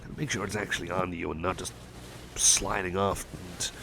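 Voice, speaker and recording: A man playing a shy, caring, anxious friend in an intimate ASMR roleplay, speaking softly and hesitantly with stammers and gentle, murmured rambling. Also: sits low, little pitch movement, a little fast, gravelly, noisy background.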